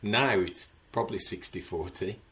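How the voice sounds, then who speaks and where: A low-voiced English man speaking over a video call. He tells his club stories in an easy, chatty way and often repeats a word as he restarts a phrase. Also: moderate pace, fairly bright tone. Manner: chatty storyteller with frequent restarts. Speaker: supporters club organiser. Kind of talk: casual interview chat.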